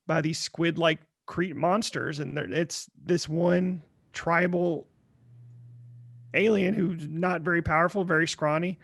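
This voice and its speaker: A man co-hosting a podcast, chatting casually about films and games in a blunt, joking way with halting restarts. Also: on the high side, vocal fry, a bit bright, dry recording, studio quality. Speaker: podcast co-host.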